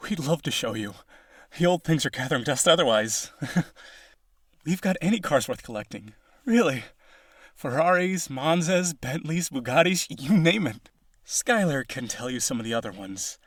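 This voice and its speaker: A man's voice: mid-range voice, no room sound, clean studio sound, natural voice. A man playing a lonely, boastful vampire aristocrat in an audio roleplay, genteel, dramatic and insistent in his possessive wooing. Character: lonely, boastful rich vampire brother, possessive yandere suitor.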